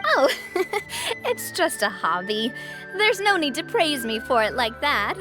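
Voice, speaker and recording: An actress playing a warm, confident young woman boss in an English video game dub, gracious and upbeat. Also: high-pitched, sing-song, a little slow, over music. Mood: warm, modest. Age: young adult.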